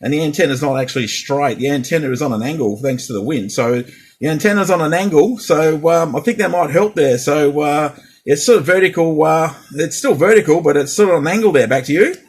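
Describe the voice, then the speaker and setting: A man's voice: fairly high pitch, animated, a little fast, breathy edge. A man in a casual radio chat as a CB operator, speaking hesitantly and repeating himself. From Australia.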